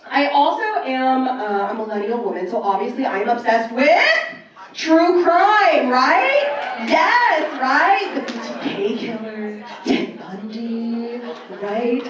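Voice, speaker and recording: A woman's voice: on the high side, animated, takes it slowly. A woman in her thirties performing a brash, casual stand-up set on a club stage, telling stories animatedly and acting out exchanges, with some swearing. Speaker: stand-up comedian. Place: live comedy club stage. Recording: roomy echoing club sound, distant.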